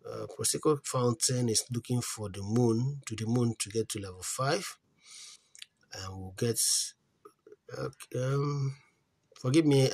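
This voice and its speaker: A man's voice: mid-range voice, slightly rough. A man hosting a casual app tutorial, thinking aloud as he searches menus, trailing off and restarting, with earnest repeated advice to his viewers. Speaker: crypto app tutorial host. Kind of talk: casual screen-recorded walkthrough. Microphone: voice-over on a phone screen recording.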